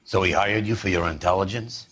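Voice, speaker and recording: An actor playing a gruff, sarcastic middle-aged police detective in a crime video game, blunt and world-weary. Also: male voice, low-pitched, fairly quick, fairly bright tone. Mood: dry sarcasm. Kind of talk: dramatic video game acting.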